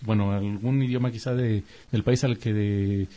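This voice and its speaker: Mellow male interviewer. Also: on the low side, moderate pace. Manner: calm, agreeable, short affirmations. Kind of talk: conversational interview hosting.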